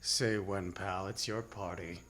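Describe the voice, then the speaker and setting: Slow, sadistic American gunman. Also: on the high side, sing-song, sharp tone, full character voice. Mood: mocking menace. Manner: slow, taunting tough-guy drawl. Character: menacing male killer and bank robber, sadistic, taunting. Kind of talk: hardboiled crime radio drama acting.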